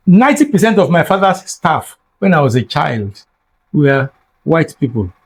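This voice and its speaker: An older Nigerian man with a Yoruba mother tongue holds forth as an interview guest, his voice sitting somewhat high for a man. He talks in an emphatic, teacherly way and repeats words to drive a point home. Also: very animated, normal speed, husky. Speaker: guest scholar. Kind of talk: reflective interview talk.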